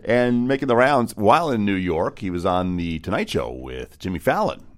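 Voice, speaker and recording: A man co-hosting a morning radio show, bantering in a casual, joking way. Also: on the low side. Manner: joking, lively, quick asides.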